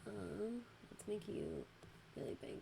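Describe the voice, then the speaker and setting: A young woman hosts a relaxed streamed chat at an unhurried pace, with frequent giggles. Her voice sounds a little worn, as if she is losing it. It is a home streaming setup with a faint hiss behind her. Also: mid-range voice, gravelly. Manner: giggly, laughs often between words. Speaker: podcast host and VTuber.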